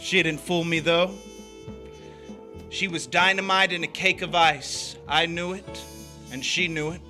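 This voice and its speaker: A man's voice: on the high side, fairly even intonation, a little slow, bright, forceful tone, soft background music. A man playing a cynical young drifter who narrates in terse, wry, hardboiled 1940s radio noir style. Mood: wry, brooding.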